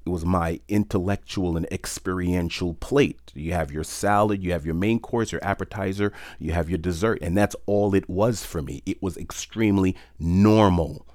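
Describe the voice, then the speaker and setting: A man from New York City who grew up speaking French first, telling a personal story in a reflective, measured way with occasional fillers. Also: low-pitched, darkish tone, no room sound, studio quality.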